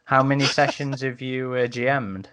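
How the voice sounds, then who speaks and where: A man's voice: medium pitch, flat delivery, moderate pace, a bit bright, clean tone. A man chatting casually as one of a podcast panel.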